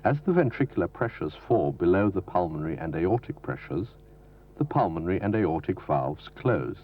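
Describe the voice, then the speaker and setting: A man giving a formal, measured, didactic scripted narration for a medical training film. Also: deep voice, very animated, slightly rough. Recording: dull lo-fi 1970s film soundtrack from videotape.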